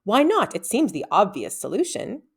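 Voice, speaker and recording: Woman playing a cross, bossy schoolgirl, animated pitch. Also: husky, exaggerated voice. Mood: annoyed, insistent. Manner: brisk, challenging. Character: cross, bossy girl student, sharp-tongued.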